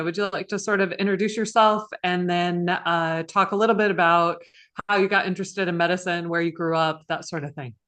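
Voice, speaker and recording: A woman's voice: fairly low pitch, a bit bright. A woman hosting an interview, polite and a little hesitant, with frequent hedges. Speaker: interview host, radiation oncologist.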